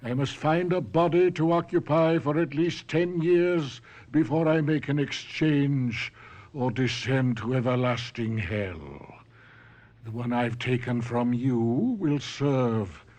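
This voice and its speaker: An actor playing a vengeful, taunting body-stealing professor living in a ventriloquist's dummy, in a radio drama. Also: male voice, medium pitch, darkish tone, lightly acted. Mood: gloating, cold. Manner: taunting, theatrical, slow and deliberate.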